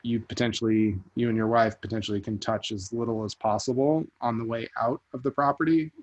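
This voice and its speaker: Flat-voiced man on a group call. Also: mid-range voice, brisk. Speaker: vacation rental host. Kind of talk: casual group call chat. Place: online group video call.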